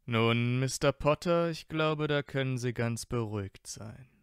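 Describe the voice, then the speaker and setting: A man's voice: mid-range voice, measured pace, clean tone, no echo, clean studio sound, natural voice. A man playing a teasing, mock-haughty teenage wizard boy in a fan audio story, with drawling banter. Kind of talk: fan audiobook character acting.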